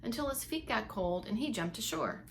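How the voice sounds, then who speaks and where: A woman reading a picture book aloud to young children as a preschool teacher, expressive and emphatic, with little asides to her listeners. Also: medium pitch, normal speed. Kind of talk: expressive storybook read-along for young children.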